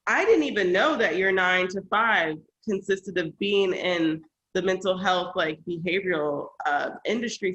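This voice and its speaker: A woman's voice: mid-range voice, a bit bright, dull audio. A woman hosting a podcast, speaking in an effusive, encouraging way with many restarts. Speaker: podcast host. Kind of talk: casual interview talk.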